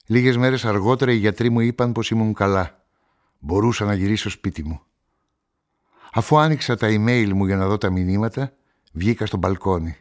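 An actor playing a brooding journalist and amateur sleuth in a radio play, measured and introspective. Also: male voice, on the low side, dry recording, clean studio sound, close to an everyday voice. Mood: reflective. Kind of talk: radio play narration and dialogue. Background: music.

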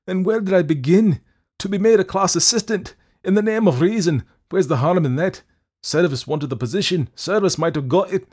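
Man playing an anguished young student, pitched high. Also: steady tone, a little fast, hardly any pauses, darkish tone, breathy edge, close to an everyday voice. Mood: anguished despair. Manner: crying out in distress, exclamatory. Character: young male medical student, horrified, conscience-stricken, anguished.

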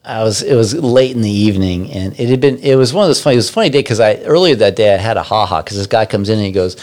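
Fast-talking man telling lively stories. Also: on the low side. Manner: animated, acts out voices in anecdotes. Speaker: podcast guest. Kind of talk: casual storytelling.

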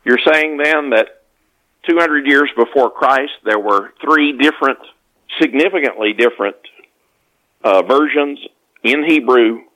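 A man talks as a guest author in an interview. He explains things in a conversational way, often backing up to repeat or rephrase a word. His delivery is halting as he works through each point. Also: mid-range voice, a bit bright, low-quality recording. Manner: halting, with repeated self-corrections.

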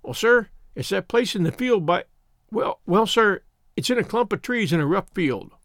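Man as young schoolboy, deferential, eager, hesitant, raised animated voice, storybook. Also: high-pitched, normal speed, no echo, clean studio sound, pitched above the performer's own voice. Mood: anxious, respectful. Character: eager, respectful young schoolboy scout reporting.

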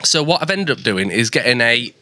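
A man with a naturally high, bright voice chats and jokes his way through a casual podcast conversation. He is recorded close on a studio microphone with a dry sound and no room echo. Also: big pitch swings, a little fast. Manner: chatty, joking, self-deprecating. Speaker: podcast host. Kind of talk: casual chat. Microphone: dynamic studio mic with preamp.

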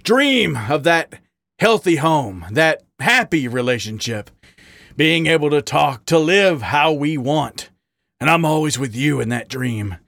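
A raw, bitter male badger pours out his heartache, his voice climbing high and swinging wide between pleading and ragged outbursts, as a male reader puts him on. The tone carries a gravelly grit. It sounds dry and close, with no room around it. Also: animated, measured pace, a bit bright, no echo, exaggerated voice. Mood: wistful.

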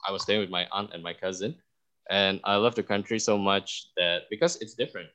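A young man from Indonesia telling a story as a podcast guest, animated and full of restarts. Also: deep voice, a little fast. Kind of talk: storytelling in an interview.

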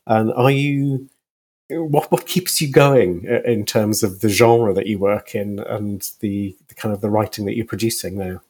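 A man's voice: fairly low pitch, measured pace, a little mellow, breathy edge. A man interviewing a guest conversationally, feeling his way through questions with hesitant, circling phrasing.

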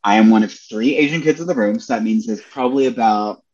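A man's voice: on the low side, quick pace, darkish tone, dull audio. A young man, a Broadway performer, chatting as a podcast guest, enthusiastic and full of "like" and "you know" fillers. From Australia. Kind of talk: casual interview chat.

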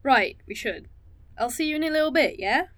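A caring, principled woman in an audio drama, torn over a plan she joined. Her voice sits fairly high and is recorded very dry, with natural conversational delivery. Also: expressive, fairly quick, no room sound. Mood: friendly, caring. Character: Val, conscientious woman, caring yet torn conspirator.

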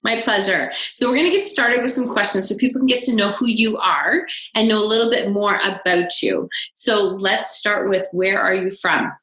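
A woman's voice: mid-range voice, normal speed, few pauses, bright, forceful tone, a little hiss or hum. A woman hosting a podcast interview, asking questions and praising answers enthusiastically. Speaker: podcast host. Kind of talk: casual interview. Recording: echoey room.

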